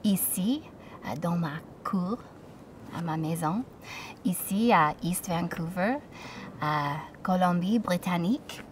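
A woman in her thirties, a native English speaker from Toronto with an English Canadian accent, speaking in a hesitant, soft-spoken way with many pauses. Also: fairly low pitch, recorded from a distance, a little hiss or hum. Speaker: singer-songwriter. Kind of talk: casual spoken introduction. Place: outdoor backyard deck. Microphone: studio mic with pop filter, slightly off-axis.

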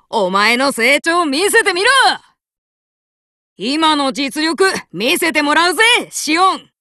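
A woman voicing a brash, cocky young male card fighter who boasts and shouts battle chants, recorded as game voice lines. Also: sits high, no echo, heavily acted. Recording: dry studio recording.

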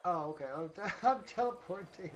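A man's voice: high voice, lively intonation, normal speed, dull audio, crowd noise. A man chatting casually during a game, salty and mildly sweary, calling people honey. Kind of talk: casual gaming chat. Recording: noisy game voice chat.

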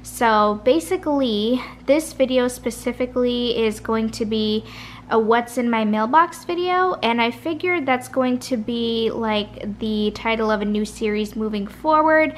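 Woman vlogger, chatty rambler, tired and soft-voiced, faint hum behind. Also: on the high side, measured pace. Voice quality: soft, a little raspy. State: tired, late at night. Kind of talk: casual chat. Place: kitchen at home. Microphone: camera at a kitchen table.

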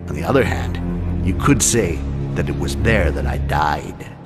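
An actor playing a cynical goblin thief in a video game, with a gravelly voice and a dry, sardonic manner. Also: male voice, low voice, expressive, a little slow, loud music behind the voice. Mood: weary, evasive.

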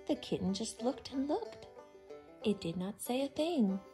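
A woman reading a picture book aloud expressively to young children, in simple, deliberate phrases. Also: on the high side, lively intonation, music in the background. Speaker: children's storytime reader.

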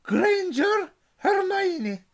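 A man voicing a strict older woman professor in a high, clipped voice. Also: sing-song, drawn-out words, heavily acted. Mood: calling out. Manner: crisp, clipped, raised falsetto calling.

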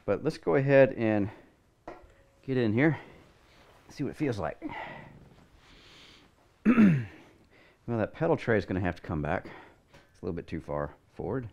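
Middle-aged guy with a lowish voice, chatting loosely through a build in his garage, lots of uh and you know. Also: a little slow, creaky, long pauses, lo-fi sound. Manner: relaxed, rambling, thinks aloud with fillers. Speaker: product reviewer. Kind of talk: casual hands-on review. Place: home garage workshop, indoors.

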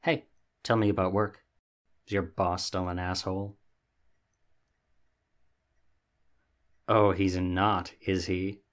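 A sassy young catboy played by a man with a fairly low voice. He is lazy and vain, talking casually and huffily, like a roommate in an ASMR roleplay. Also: little pitch movement, unhurried. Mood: sleepy, nosy. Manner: sassy, casual, theatrically huffy.

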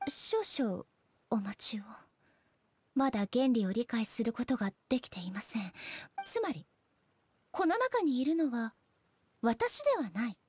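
An actress playing a composed, solemn shogun deity in an anime game, speaking formally and with measured, stately delivery. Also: female voice, fairly high pitch, sing-song, breathy edge, full character voice. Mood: puzzled, formal. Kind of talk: Japanese anime game voice acting.

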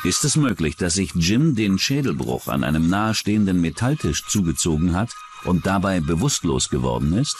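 Very deep-voiced male documentary narrator. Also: very animated, normal speed, noisy background. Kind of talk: scripted true-crime narration.

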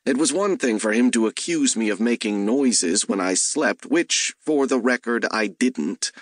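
A man narrates an audiobook at a slow, measured pace. His mid-pitched voice has a wry edge, and the recording is dry and close. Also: expressive, dry recording. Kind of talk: first-person scripted narration.

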